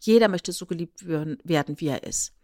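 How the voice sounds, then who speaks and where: Eine Frau mit deutschem Akzent plaudert als Podcast-Co-Moderatorin, erklärt erzählend, spielt Zitate nach und sucht mit kleinen Nachfragen Zustimmung. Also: female voice, lowish voice, brisk, dark tone, no room sound, studio quality. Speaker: podcast co-host. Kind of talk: casual podcast chat, explanatory retelling.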